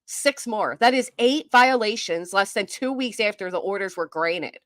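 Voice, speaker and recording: A woman's voice: mid-range voice, animated, moderate pace, a bit bright, no room sound. Blunt sarcastic woman losing her voice. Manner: blunt, sarcastic, laughs and swears. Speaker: livestream commentary host. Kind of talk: casual livestream commentary.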